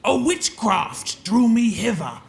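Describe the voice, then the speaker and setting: Gravelly, impassioned defiant sea captain. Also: male voice, very high-pitched, very animated, slow pace, heavily acted. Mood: indignant. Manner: declamatory, impassioned. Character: defiant, betrayed sea captain under arrest. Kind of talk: Shakespearean audio drama acting. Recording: echoey, noisy ambience.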